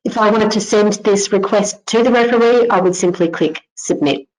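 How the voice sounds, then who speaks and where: A woman giving an informal narrated software tutorial, explaining things step by step as a company presenter. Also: fairly low pitch, rough recording. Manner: instructional, step-by-step.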